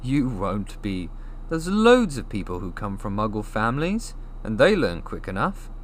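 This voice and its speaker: A man voicing a glum, self-deprecating young boy wizard in an animated, grumbling way, for a storybook. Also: fairly low pitch, moderate pace. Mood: reassuring.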